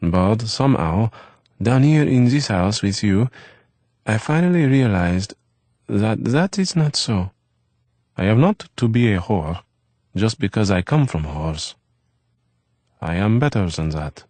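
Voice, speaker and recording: A man voicing a gentle, wistful young man who grew up on the streets, speaking in soft, formal phrases. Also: on the low side, lively intonation, unhurried, pauses often, no echo, pitched above the performer's own voice. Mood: earnest.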